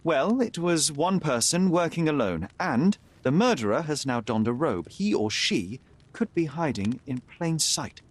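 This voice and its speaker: A man's voice: fairly high pitch, animated, unhurried, husky, no room sound. An actor playing a young male detective, speaking in a precise, composed and formal manner. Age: young adult.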